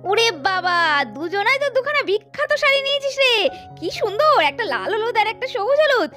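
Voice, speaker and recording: A woman voicing excited young doll women out shopping, chattering in an exclamatory sing-song way for a doll story. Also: very high-pitched, brisk, soft background music, full character voice. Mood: excited, admiring. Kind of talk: doll-story role play.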